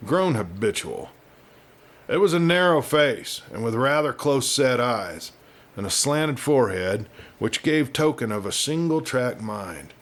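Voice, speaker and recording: A man telling a story as host and narrator, in a folksy, measured manner. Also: mid-range voice. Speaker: storyteller host reading a western novel. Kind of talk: folksy scripted audiobook narration.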